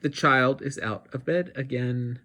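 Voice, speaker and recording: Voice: mid-range voice, a little slow, bright, forceful tone. A storybook reader voicing an eager female red monster with a chirpy, whining manner.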